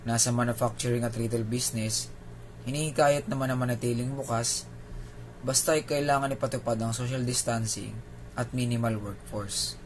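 A man's voice: mid-range voice, a little hiss or hum, low-quality recording. A man with a Filipino accent hosting a video, reading a scripted news explainer. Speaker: video host.

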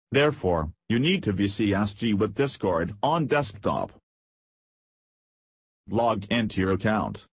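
A man narrating a scripted software tutorial in a flat, read-aloud instructional way. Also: on the low side, slightly rough, dry recording.

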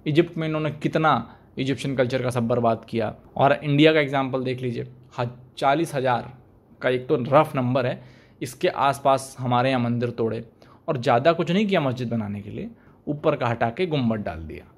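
A man's voice: mid-range voice, normal speed. A young man talking to camera as a video commentator, arguing pointedly and asking rhetorical questions, recorded with a desk microphone in a small room. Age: young adult. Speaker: YouTube commentator. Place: small indoor room, plain wall. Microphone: desk microphone in front.

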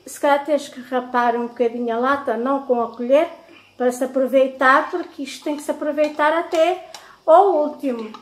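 Middle-aged female vlogger, European Portuguese accent, high-pitched, chatty and familiar, recorded in an echoey kitchen. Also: steady tone, normal speed. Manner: chatty, affectionate address to viewers. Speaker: home vlogger. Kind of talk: casual chat to viewers. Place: home kitchen, indoors.

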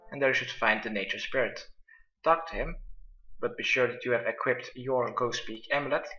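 Male game-guide narrator, bright and instructional, lo-fi mic with music behind. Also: mid-range voice, roomy sound. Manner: instructional, step-by-step. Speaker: gamer presenting a quest guide. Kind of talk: video game walkthrough commentary.

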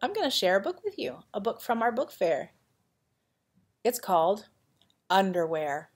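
A woman's voice: mid-range voice, big pitch swings, unhurried, husky. A woman reading a children's picture book aloud in a playful, dramatic manner, with chatty asides to young listeners. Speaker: elementary school vice principal reading to pupils.

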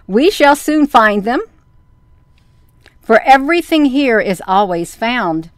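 A woman voicing a wise motherly fairy in a storybook, patient and gently admonishing. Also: fairly high pitch, very animated, slow, clean tone, no room sound, clean studio sound. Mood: knowing.

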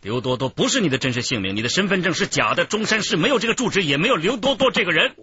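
A man's voice: sits high, very animated, very fast, pushed and bright, dull audio, full character voice. A man acting in a radio drama as a stern police interrogator, insistent and official. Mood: stern, accusing.

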